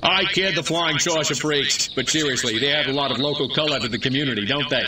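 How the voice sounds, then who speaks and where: An actor playing a glib, condescending American mayor giving a mock political speech in a comic video game. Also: male voice, medium pitch, fast, distant mic, noisy background, close to an everyday voice. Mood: glib, pompous. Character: glib, smug small-town mayor addressing townsfolk.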